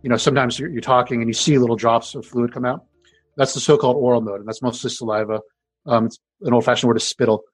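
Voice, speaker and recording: A middle-aged man with a deep voice, an engineering professor casually explaining how speech releases particles, full of fillers and restarts. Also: fairly even intonation, fast, no echo, faint music behind. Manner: conversational, many fillers and restarts. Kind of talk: explanatory interview answers.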